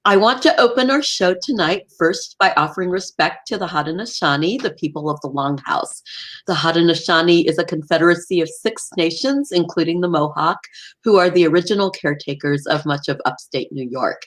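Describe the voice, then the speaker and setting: A woman hosting an interview show, reading a formal introduction and asking measured questions. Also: on the low side, fairly bright tone. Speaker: host.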